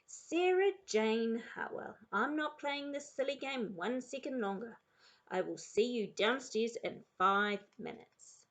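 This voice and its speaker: A woman's voice: mid-range voice, big pitch swings, a little slow, gravelly, darkish tone. A woman voicing a patient, coaxing storybook husband who is mildly exasperated. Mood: exasperated, firm.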